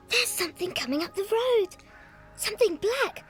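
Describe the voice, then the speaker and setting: A woman playing a curious, easily frightened young British orphan girl in a dramatized audiobook, breathy and exclamatory. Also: very high voice, a bit bright, heavily acted.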